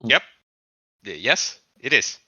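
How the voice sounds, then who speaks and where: A man's voice: medium pitch, sing-song, slow, pushed and bright, breathy edge, dry recording, studio quality. A man acting as game master, explaining rulings and stakes to his players in casual talk.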